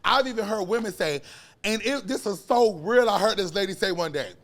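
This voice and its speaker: A man's voice: high-pitched, brisk. A man in his twenties, a podcast guest talking casually in an earnest, emphatic way. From United States. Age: 20s. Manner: earnest, emphatic, animated storytelling. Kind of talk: casual conversation.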